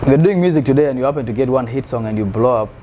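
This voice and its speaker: A man's voice: mid-range voice, very fast. A man from South Sudan, a musician answering interview questions in an earnest, searching way, often repeating words as he finds his phrasing. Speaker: musician guest. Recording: steady background noise.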